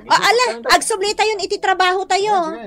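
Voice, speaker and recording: High-pitched, showy Filipino office woman. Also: fairly even intonation, moderate pace, crowd noise. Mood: showy, announcing. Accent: Filipino, Ilocano. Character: boastful young woman office worker, showy birthday celebrant. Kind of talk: Ilocano radio drama acting.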